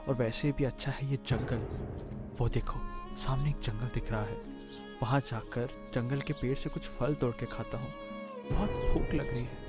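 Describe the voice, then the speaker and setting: A man's voice: medium pitch, steady tone, moderate pace, over loud music, lightly acted. A man voicing an earnest, plaintive young village man, a wronged stepson in a cartoon moral story. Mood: weary, musing.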